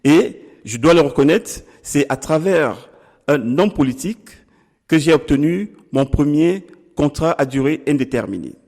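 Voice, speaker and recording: A middle-aged man from Cameroon, a political candidate answering interview questions in a deliberate, formal way with pauses. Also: a little high, expressive, gravelly, darkish tone, big-room sound. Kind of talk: measured campaign interview answers.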